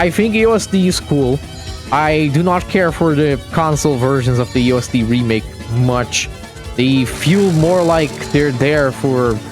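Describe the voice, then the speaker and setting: A man giving casual live commentary on a game replay stream, chatting with viewers and laughing often. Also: on the high side.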